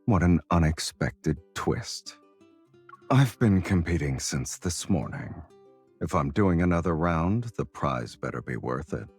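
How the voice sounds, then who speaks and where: Very deep, husky romantic lead. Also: male voice, lively intonation, unhurried, faint music behind, full character voice. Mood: teasing. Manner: smooth-talking, playfully teasing. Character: confident, teasing, tender male romantic lead on horseback journey. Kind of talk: romance game voice acting.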